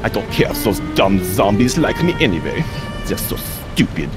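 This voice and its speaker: An actor voicing a vain, petulant European vampire villain with a German accent and theatrical, haughty, stilted English in an animated comedy game. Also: male voice, on the low side, normal speed. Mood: petulant, menacing. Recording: music behind the voice.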